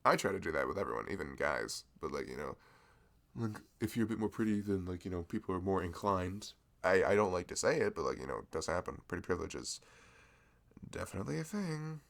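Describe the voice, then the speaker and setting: A man's voice: lively intonation, fast. A man playing a sweet, bashful young male florist in a chatty, hesitant ASMR roleplay, with a velvety voice. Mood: musing. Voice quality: velvety, low, creaky. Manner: chatty, hesitant, rambling, self-deprecating. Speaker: ASMR voice performer. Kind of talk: ASMR roleplay, improvised casual chat.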